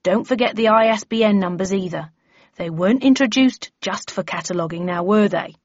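Lady doing a stern officious chairman guy in an audiobook, brisk and declaiming, dry close sound, bit dull. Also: medium pitch, no room sound, lightly acted. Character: stern, brisk male bellman chairing a meeting.

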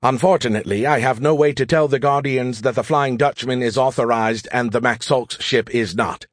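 A man voicing an arrogant, excitable alien artificial intelligence in an audiobook, with a sarcastic, condescending, theatrical manner. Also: mid-range voice, normal speed, no room sound, studio quality, lightly acted. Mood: mocking, exasperated. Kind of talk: audiobook character voice.

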